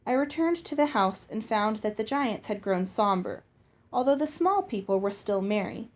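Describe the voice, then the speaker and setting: A woman's voice: medium pitch, expressive, moderate pace. A woman narrating a children's fantasy novel aloud in the first person, as a storybook reader. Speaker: audiobook reader.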